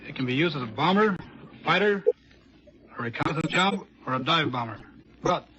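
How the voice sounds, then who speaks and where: A young American pilot gives measured, deadpan answers on 1940s radio. His voice is a little high for a man. The sound is dull, with faint noise underneath. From Chicago, United States. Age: young adult. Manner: deliberate, deadpan. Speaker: Air Force test pilot, captain. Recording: muffled, noisy 1940s radio broadcast.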